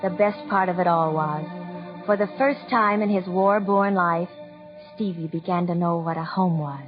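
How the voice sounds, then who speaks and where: An actress playing a gentle, earnest young mother in a 1940s radio drama. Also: fairly low pitch, steady tone, normal speed, music in the background, lightly acted. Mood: reflective. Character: gentle, flustered young mother of small boy. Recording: muffled old 1940s radio recording.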